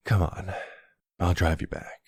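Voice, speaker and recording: Man playing a deep, gravelly flirty mafia boss. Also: no echo, studio quality, full character voice. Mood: playful teasing.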